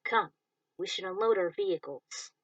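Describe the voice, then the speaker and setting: A woman's voice: on the low side, moderate pace, dry recording, recorded from a distance, highs cut off. A woman voicing a firm, knowing female pilot who explains things sternly to cadets in a story. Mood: firm, instructive. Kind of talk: story character dialogue.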